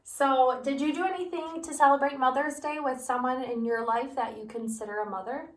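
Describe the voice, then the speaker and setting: A woman's voice: sits high, a little fast. A woman teacher reading a picture book aloud to her students, asking them questions as she goes. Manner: animated, asks listeners questions. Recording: roomy, from a distance.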